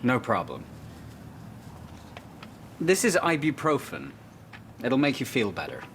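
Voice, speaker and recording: A polite, helpful pharmacist in a scripted learning video, with a lively, mid-pitched voice. He asks plain questions of a customer. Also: slow pace, slight background noise, exaggerated voice. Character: helpful adult male pharmacist.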